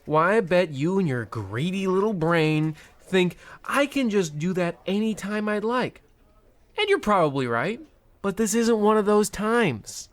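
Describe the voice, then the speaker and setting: A smug, self-absorbed banker played by a comic actor in a radio comedy, recorded close and dry. The delivery is pompous and patronizing, at a middling pitch, dripping with sarcasm and callous disregard. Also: expressive, a little slow, no room sound, lightly acted. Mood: pompous, condescending. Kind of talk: old-time radio comedy acting.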